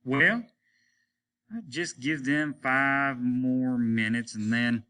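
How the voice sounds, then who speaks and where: Man acting out a sharp, irritable old space captain. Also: mid-range voice, slow, a little hiss or hum. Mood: scornful, impatient. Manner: snapping, indignant, barking rhetorical questions. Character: irritable weary aging rocket ship captain, commanding and scornful. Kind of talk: audiobook character voice.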